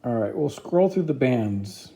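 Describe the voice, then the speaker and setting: A man's voice: medium pitch, sing-song, unhurried, warm tone. A man giving a casual, informal product review, explaining features plainly step by step.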